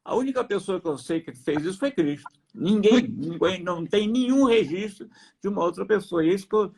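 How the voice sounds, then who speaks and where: A man's voice: sits high, lively intonation, fairly quick, creaky, a little mellow. A man with a Brazilian Portuguese accent giving a faith testimony on a live stream, hesitant with many restarts, then emphatic. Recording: noisy live-stream audio.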